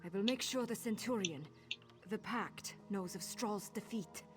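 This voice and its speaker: A woman's voice: mid-range voice, measured pace, over a noisy crowd, close to an everyday voice. A woman playing a solemn, earnest soldier in a video game, speaking formally of fallen comrades. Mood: solemn, grieving. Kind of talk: video game dialogue acting.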